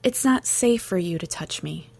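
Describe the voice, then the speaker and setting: A woman's voice: lowish voice, expressive, moderate pace, vocal fry, darkish tone, slightly rough, no echo, full character voice. A woman voicing a guarded, troubled young telepath in an audiobook, speaking tersely and earnestly. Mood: defensive. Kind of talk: audiobook character dialogue.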